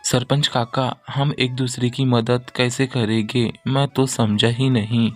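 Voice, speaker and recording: A man's voice: mid-range voice, flat delivery, a little slow, natural voice. A man playing a generous, good-hearted villager in a cartoon moral story, earnest and respectful.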